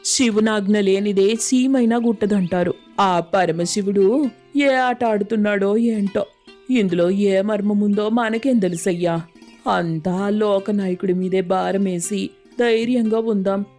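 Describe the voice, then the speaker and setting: A woman plays a pious, devoted wife in an animated village tale. Her speech is earnest, colloquial and rustic, at a medium pitch. Light music sits underneath. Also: measured pace, natural voice. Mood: consoling. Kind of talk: animated moral story voice acting.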